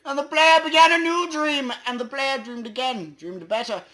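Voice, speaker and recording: A man with a high, piercingly bright voice reads aloud for laughs. He declaims in a theatrical, overblown way. His voice carries a slight hoarseness. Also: normal speed. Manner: theatrical, over-the-top declaiming. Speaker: reader. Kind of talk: comedic dramatic reading.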